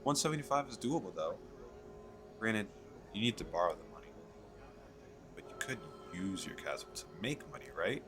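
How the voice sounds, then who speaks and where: Man playing a low-voiced, eager fantasy merchant. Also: very animated, moderate pace, long pauses. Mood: persuasive. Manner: hesitant, rambling, persuasive sales patter. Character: eager, chatty male fantasy merchant selling magical crystal castles. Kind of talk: ASMR audio roleplay, spoken to the listener.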